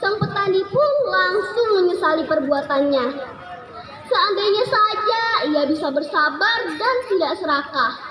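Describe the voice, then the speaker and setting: A woman's voice: extremely high pitch, animated, unhurried. A woman reading a scripted children's fable aloud as a storyteller. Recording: echoey, noisy room with background crowd.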